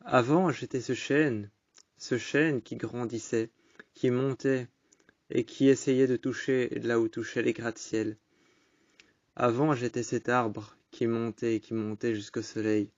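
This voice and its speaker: A man's voice: mid-range voice, steady tone, a little slow, no room sound, dull audio. Man reciting solemn poetry, close and dry. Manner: repetitive incantatory phrasing, solemn and melancholic. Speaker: poetry storyteller. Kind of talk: dramatic spoken-word poetry recitation.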